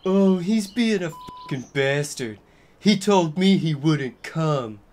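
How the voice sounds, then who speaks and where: A man's voice: fairly high pitch, animated, takes it slowly, a little mellow. A man playing an angry, melodramatic teenage gothic boy in a theatrical comedic reading of fan fiction. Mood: sulky.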